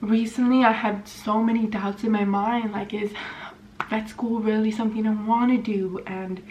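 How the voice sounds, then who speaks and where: A woman's voice: medium pitch, little pitch movement, normal speed, darkish tone. A woman in her twenties from California, chatting casually and rambling with lots of fillers while doing her makeup at home. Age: 20s. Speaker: vlogger. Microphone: camera mic. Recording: slightly dull sound with faint hiss.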